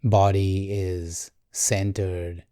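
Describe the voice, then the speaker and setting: A man guiding a meditation in a calm, gentle, measured way, leaving long pauses. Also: sits low, no echo, clean studio sound. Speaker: meditation guide. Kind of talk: guided meditation, slow and soothing.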